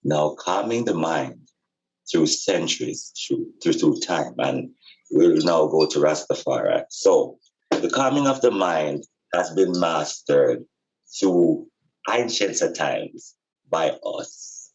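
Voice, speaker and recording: A man with a very low voice speaks slowly and emphatically, like a teacher in an informal talk. He repeats phrases to stress his points. The recording is a little dull and lo-fi. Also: lively intonation, a little mellow, slight background noise. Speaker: podcast speaker and spiritual teacher. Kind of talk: informal explanatory talk on spirituality and healing.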